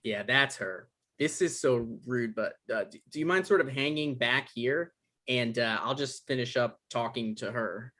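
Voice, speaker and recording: Male actor as defensive ex-boyfriend, mid-pitched voice, hesitant stammer, evasive, conversational table read. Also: unhurried, bright, forceful tone. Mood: flustered, apologetic. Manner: stammering, hedging. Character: evasive, awkward, defensive man meeting his exes. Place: online live stream table read.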